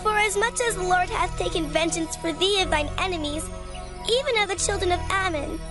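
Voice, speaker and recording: Very high-voiced, meek young daughter. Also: female voice, fairly quick, music in the background. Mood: resigned. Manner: earnest, pleading, archaic scriptural phrasing. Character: young devoted daughter, meek, sorrowful, resigned. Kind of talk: dramatized scripture acting.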